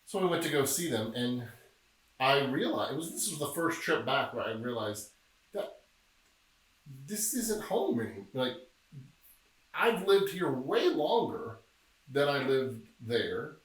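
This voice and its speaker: A middle-aged man from Memphis, Tennessee, talking casually as a podcast guest and telling stories with a joking, relaxed manner. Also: medium pitch, a little slow, long pauses. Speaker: podcast guest, film producer. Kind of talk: casual interview chat. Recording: echoey room, distant mic.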